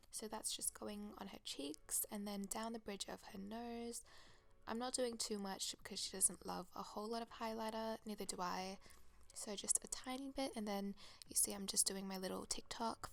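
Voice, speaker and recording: A woman's voice: medium pitch, creaky. A young woman with a cold, her voice a bit crackly, chatting enthusiastically through a makeup tutorial voice-over. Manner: chatty, enthusiastic product recommendations. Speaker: makeup artist.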